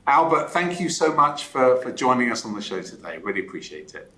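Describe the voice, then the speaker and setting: A man hosting an interview show with a bright, forward tone and a lively, up-and-down delivery. He restarts his words a little as he sets up his questions. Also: medium pitch, brisk, slight background noise. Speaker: podcast host.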